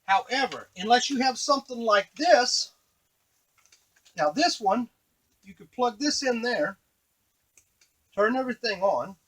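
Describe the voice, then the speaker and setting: A man's voice: very high voice, lively intonation, measured pace, pauses often, fairly bright tone, poor audio. A man giving a casual, unscripted tutorial on a device, explaining step by step to the viewer as he works. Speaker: device demonstrator.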